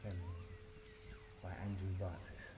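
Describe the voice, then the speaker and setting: A man reading a scripted spiritual invocation in a solemn, measured, ceremonial and declarative manner. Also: low-pitched, monotone. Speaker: reader of a spiritual decree. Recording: long reverb, clearly noisy ambient background.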